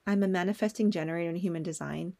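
A woman's voice: on the low side, very fast, creaky, darkish tone. A young woman hosting a solo podcast, talking in a candid, personal way and swearing now and then. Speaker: podcast host.

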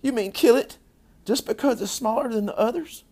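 An indignant eight-year-old farm girl from a storybook, acted out by a man. The voice is pitched far up and shrill. She pleads and protests with great drama. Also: lively intonation, moderate pace, gravelly, husky, exaggerated voice. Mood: outraged.

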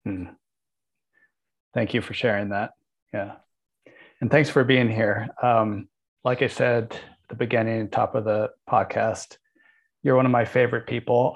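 Gravelly-voiced gentle male podcast host. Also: mid-range voice. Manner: gentle, appreciative. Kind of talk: interview conversation. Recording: dull lo-fi sound.

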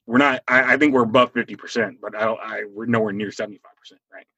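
American man in his forties, husky and dry. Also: on the low side, steady tone, quick pace, bright, forceful tone, no room sound, dull audio. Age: 40s. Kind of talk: casual conversation, opinionated.

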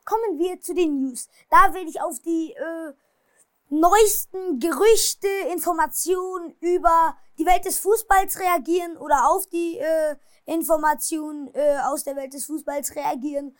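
Very high-voiced woman recapping football. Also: unhurried, no echo, clean studio sound. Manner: hesitant, many fillers, apologizes for her pronunciation. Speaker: football news vlogger. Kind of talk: casual football recap and news.

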